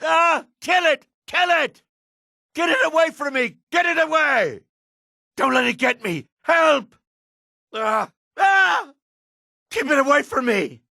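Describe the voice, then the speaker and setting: An actor playing a jaded, sardonic male dark elf in a fantasy video game, with a husky voice. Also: very high voice, animated, slow, pauses often, dry recording, clean studio sound, full character voice. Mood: terrified. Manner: sardonic, disdainful. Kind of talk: video game character voice acting.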